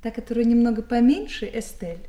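An actress playing a naive, gentle, candid young village girl in a theatrical radio play. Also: a little high, animated, brisk, natural voice. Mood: shy, candid.

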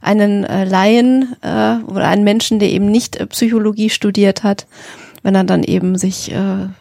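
A woman's voice: mid-range voice, fairly even intonation, moderate pace, gravelly, mellow, dry recording, clean studio sound. A woman co-hosting a podcast in conversational discussion. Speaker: podcast co-host.

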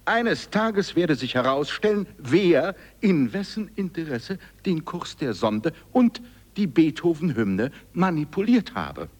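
An actor playing a composed, matter-of-fact congress reporter, giving formal commentary in a radio drama. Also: male voice, on the high side, very animated, takes it slowly, heavily acted.

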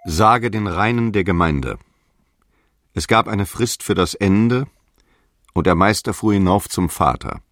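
A stern prophet of the early church, very low in pitch and captured dry and close. He proclaims doctrine in grave, archaic, measured phrases, with fervent authority, as in a radio play. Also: dry recording. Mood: solemn, prophetic. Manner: grave, commanding, measured preaching. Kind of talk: solemn archaic radio drama monologue.

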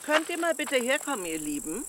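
A woman playing a kindly, weary pregnant village woman who speaks gently in a radio play. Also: high voice, big pitch swings, brisk, exaggerated voice. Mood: weary, asking. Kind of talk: German radio play acting.

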